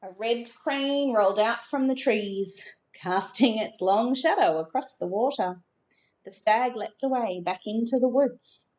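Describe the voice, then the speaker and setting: A woman narrating a children's storybook expressively, in a measured way with dramatic emphasis. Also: fairly high pitch, a little mellow, rough recording. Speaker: storybook reader.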